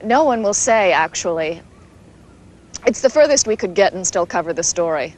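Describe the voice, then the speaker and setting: A woman's voice: lowish voice, expressive, normal speed, a little mellow, close to an everyday voice, rough recording. A woman playing a field news reporter, giving a scripted report in a sober, factual manner. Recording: location feed with faint ambient noise.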